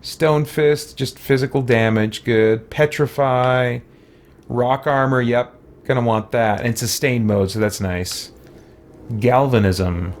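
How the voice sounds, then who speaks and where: A man hosts a gaming playthrough in a medium-pitched voice. He talks casually, thinking aloud and muttering to himself. He moves between reading on-screen text and offering his own offhand musings. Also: fairly even intonation, takes it slowly. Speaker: let's play host.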